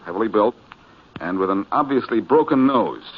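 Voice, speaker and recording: Wry, low-voiced radio detective. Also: male voice, expressive, normal speed. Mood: wry, probing. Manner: wry, smooth, quipping. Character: wisecracking, confident male amateur detective. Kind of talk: 1940s radio detective acting. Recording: muffled, noisy 1940s radio recording.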